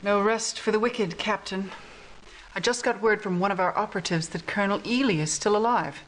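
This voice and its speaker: An actress playing a grave, formal resistance commander briefing a soldier in a video game cutscene. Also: female voice, mid-range voice, a little fast, natural voice. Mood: urgent, solemn. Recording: clearly noisy game cutscene audio.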